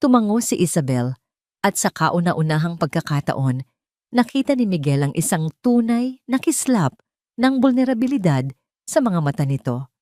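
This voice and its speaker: A woman's voice: fairly low pitch, very animated, normal speed, a little mellow, no echo, clean studio sound. A woman reading scripted, dramatic narration for an audio story.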